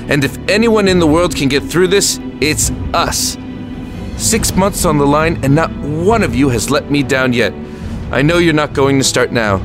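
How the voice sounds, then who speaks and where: A man as a resolute, rallying airborne officer. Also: on the high side. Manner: firm, military, rallying. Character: resolute, rousing male Allied airborne officer. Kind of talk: audio drama acting. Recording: music under the voice.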